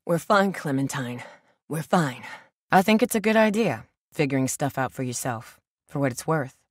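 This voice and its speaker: A woman's voice: deep voice, expressive, moderate pace, dry recording. A woman voice actor playing a guarded, blunt, protective young survivor of a zombie apocalypse in a video game, with a dry delivery. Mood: dry, cautionary.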